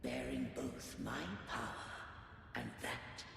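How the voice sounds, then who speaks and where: Ancient, gravelly, echoing guardian. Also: male voice, mid-range voice, level tone, slow, fairly bright tone, full character voice. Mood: solemn, foreboding. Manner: archaic, grave, stately speech. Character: ancient solemn male guardian of a fallen civilization. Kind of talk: dramatic video game voice acting. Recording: long echoing reverb, music underneath. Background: game music.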